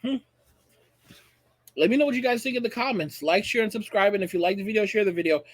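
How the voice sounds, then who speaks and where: A man's voice: sits high, fast, no echo. An American man in his late thirties hosting an opinionated online commentary, speaking emphatically and repeating phrases for effect, addressing his viewers directly. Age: late 30s. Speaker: online video channel host.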